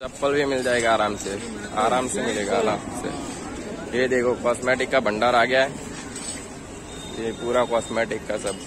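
A man's voice: medium pitch, fairly even intonation, moderate pace, pauses often. A young man from India vlogging casually as he walks around an outdoor market, asking sellers about prices and haggling as he goes. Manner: chatty, haggling with sellers while walking. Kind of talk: casual walking market vlog. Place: busy outdoor street market. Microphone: handheld phone camera. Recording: noisy outdoor street recording. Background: crowd chatter and passing traffic.

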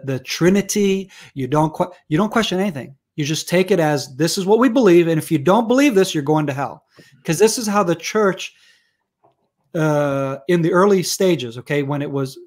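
A fairly high-voiced man in his thirties, from Israel and a native Hebrew speaker, talking with an American accent. He argues heatedly over a video call from home through wired earphones, stumbling into restarts as he pushes his case. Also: a little mellow. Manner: passionate, argumentative, many restarts. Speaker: guest researcher. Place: room at home.

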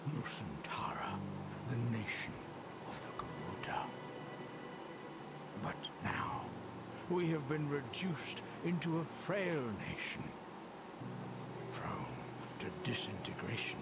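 A man narrates in a solemn whisper, as in a film trailer. His delivery is slow, and his pitch swings widely between phrases. A loud soundtrack sits under his voice. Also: big pitch swings, heavy background noise. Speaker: narrator of a music video. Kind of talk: hushed dramatic trailer narration.

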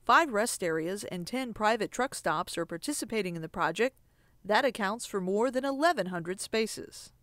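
A woman reading a scripted voice-over for a public information film in a measured, informative way. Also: mid-range voice, animated, fairly bright tone, no room sound. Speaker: narrator.

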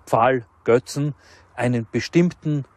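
Medium-pitched male academic historian. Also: slow pace, no room sound. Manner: academic, explanatory. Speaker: historian, expert interviewee. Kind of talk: explanatory documentary interview.

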